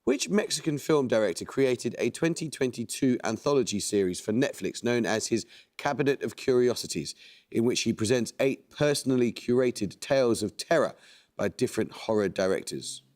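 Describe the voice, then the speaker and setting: A man hosting a quiz show, reading questions and introducing teams in a measured, precise way. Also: medium pitch, animated, a little mellow. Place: TV studio.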